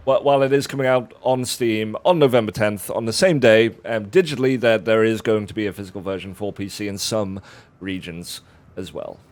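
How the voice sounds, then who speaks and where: A man's voice: lowish voice, fairly quick. A man hosting a game livestream while playing, chatty and playful. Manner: playful, laughs often. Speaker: stream host.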